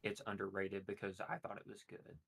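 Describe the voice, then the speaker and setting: Low-pitched man with a monotone voice. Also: vocal fry. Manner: monotone, drawn-out explanations. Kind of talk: casual chat.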